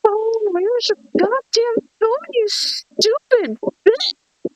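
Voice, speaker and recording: A woman playing a brash, foul-mouthed young woman in a horror script table read, with a taunting, drawn-out delivery. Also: very high voice, no room sound, exaggerated voice, low-quality recording. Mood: angry, desperate.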